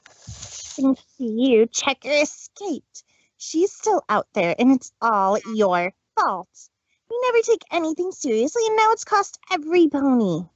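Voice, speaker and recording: A woman's voice: high-pitched, sing-song, unhurried, no room sound. A woman voicing a proud, bitter female unicorn snarling accusations in a fan reading. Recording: dull dry lo-fi stream audio.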